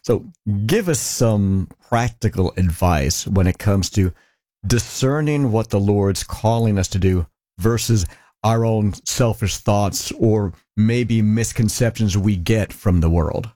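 Low-voiced male radio host, dry studio. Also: slow pace, warm tone, no room sound. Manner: smooth announcer delivery, brief scripted intros. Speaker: radio show host, interviewer. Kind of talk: radio interview hosting.

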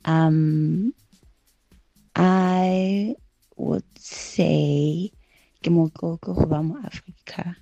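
A woman's voice: on the low side, drawn-out words, creaky, long pauses, warm tone, no echo, soft background music. A woman from South Africa leaving a voice note for a radio show, speaking thoughtfully with hesitant fillers, recorded on a phone. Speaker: caller sending a voice note. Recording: slightly dull phone voice note.

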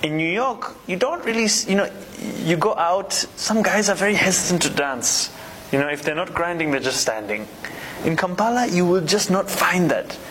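A man from Uganda answering interview questions casually, relaxed and searching for words with many fillers. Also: sits high, normal speed, slight background noise. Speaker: interview guest.

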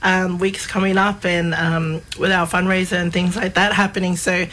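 A woman's voice: fairly low pitch, little pitch movement, normal speed, a bit bright, slight background noise. A woman with an Australian accent and a voice worn from overuse, talking casually as an interview guest. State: strained voice from overuse. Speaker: general manager, interview guest.

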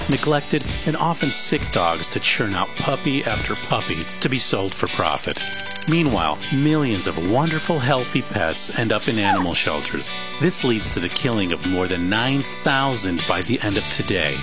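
A low-pitched man reads a charity announcement with lively, swinging intonation. He sounds earnest and persuasive. Clear plucked guitar music plays under his voice-over. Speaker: public service announcer. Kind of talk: scripted narration.

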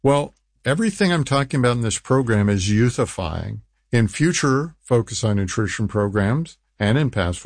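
A man with a fairly low voice, recorded close and dry. He presents like a health radio host selling supplements, deliberate and emphatic, leaning on key phrases and repeating them. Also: dry recording. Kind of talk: infomercial radio talk, reading testimonials.

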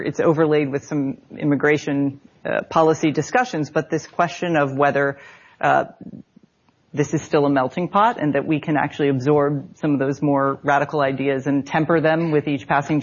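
Male panelist, creaky voice, formal and wordy with hesitations, somewhat muffled recording. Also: on the high side. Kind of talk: measured panel discussion.

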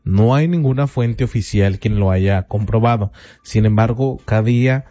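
A man's voice: lowish voice, moderate pace, mellow, highs cut off. A man presenting a local news bulletin in a conversational way, speaking straight to the listener and leaning on fillers. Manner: chatty, filler-heavy, addresses listener directly.

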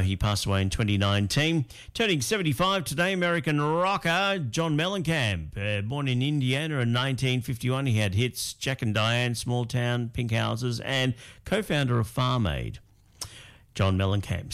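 Easygoing Australian radio host. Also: male voice, mid-range voice. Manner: relaxed, with frequent fillers and pauses. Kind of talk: local radio birthday segment.

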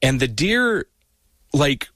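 A man's voice: on the high side, slow, dry recording, studio quality. A man who writes a column, chatting on a morning radio show and telling stories with many restarts. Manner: lively storytelling with restarts. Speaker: guest columnist. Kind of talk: casual radio chat.

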